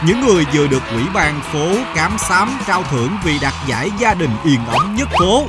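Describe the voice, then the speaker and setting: Eager, animated cartoon reporter. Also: male voice, on the high side, brisk. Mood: excited. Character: eager young male TV reporter with microphone. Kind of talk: comic cartoon news presenting. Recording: music behind the voice.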